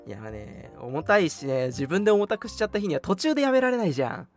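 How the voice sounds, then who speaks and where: A radio host chatting casually and telling stories in an animated, emphatic, colloquial way. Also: medium pitch, fast. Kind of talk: casual chat, storytelling. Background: background music.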